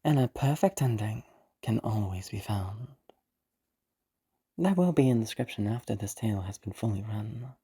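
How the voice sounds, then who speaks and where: A woman voicing an ominous, formal narrator who solemnly introduces dark storybook tales in a hushed, theatrical way. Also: a bass voice, expressive, normal speed, breathy edge, pitched below the performer's own voice, full character voice. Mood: ominous, inviting. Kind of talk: slow scripted storybook introduction.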